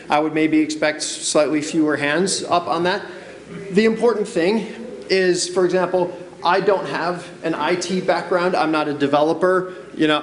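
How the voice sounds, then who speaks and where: A man giving a casual conference talk, chatty and often asking "right?" to the audience. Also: fairly high pitch. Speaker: conference presenter. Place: conference room with noise next door. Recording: roomy hall recording.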